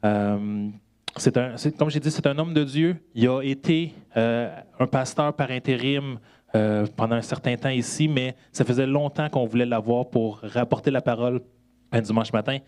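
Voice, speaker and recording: A man's voice: mid-range voice, normal speed, a little mellow, smooth. A man introducing a guest preacher to the congregation, hesitant with frequent fillers. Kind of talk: church announcement.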